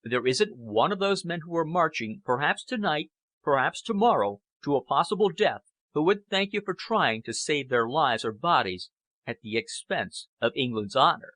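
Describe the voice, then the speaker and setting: Man acting grave, stern aging statesman, emphatic pitch. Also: expressive, fairly bright tone, no room sound. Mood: grave, impassioned. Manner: stern, deliberate oratory. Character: strained, aging British Prime Minister, grave and patriotic. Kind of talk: audiobook character acting.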